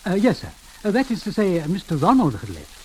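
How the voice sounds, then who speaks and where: Deferential manservant, formal and careful, lively rise and fall, vintage radio play, faint hiss. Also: male voice, high-pitched, fast talker, rough recording. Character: deferential, precise male household servant. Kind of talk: 1940s radio drama acting.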